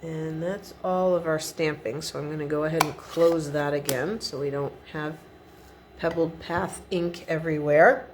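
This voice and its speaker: A woman's voice: sits low, steady tone, normal speed, slight background noise. A middle-aged woman giving a chatty step-by-step card-making tutorial at her home craft desk, sniffly from a cold. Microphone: overhead camera above the desk.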